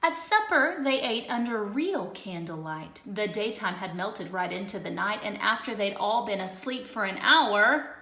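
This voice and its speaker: A woman teacher reading a rhyming picture book aloud, expressive, moving between loud lines and hushed ones. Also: mid-range voice, unhurried. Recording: roomy echo.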